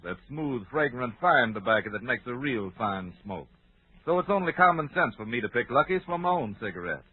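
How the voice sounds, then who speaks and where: A man's voice: mid-range voice, lively intonation, a little fast, slightly rough, dry recording, narrow, tinny audio. A man giving a scripted testimonial in a vintage radio commercial, on an old broadcast recording. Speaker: tobacco buyer giving testimonial.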